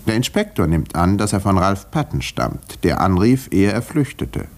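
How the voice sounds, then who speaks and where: An actor playing an observant doctor who narrates a murder case in a measured, dryly ironic way, in the style of 1950s German radio drama. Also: male voice, sits low, sing-song. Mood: measured, reflective. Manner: measured, literary, dryly wry storytelling.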